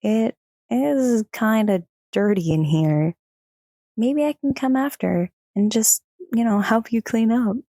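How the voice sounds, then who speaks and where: A woman's voice: fairly high pitch, lively intonation, slow pace, warm tone, dry recording, studio quality, exaggerated voice. A woman playing a thoughtful young daughter in an audio drama, speaking hesitantly and wistfully. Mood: hesitant, worried. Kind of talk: audio drama acting and narration.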